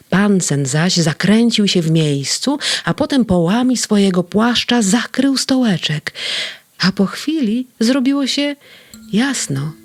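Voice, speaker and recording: A narrator reading a children's audio story aloud in a tender, gently expressive way. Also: mid-range voice, no echo.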